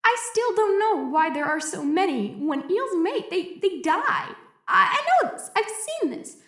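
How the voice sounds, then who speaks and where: An echoey audio drama log performed by a woman from an isolated young female researcher. Her voice is high and anxious. She speaks slowly and haltingly, stammering as she feels her way through each thought in fear. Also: big pitch swings, a bit bright, exaggerated voice. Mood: agitated, alarmed. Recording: echoey roomy recording.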